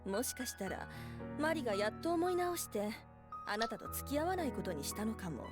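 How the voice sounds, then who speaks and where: A woman's voice: fairly high pitch, big pitch swings, very fast, over music. A woman playing a haughty, irritated female fox spirit in a Japanese visual novel, speaking in a scolding, dismissive manner. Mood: haughty, teasing.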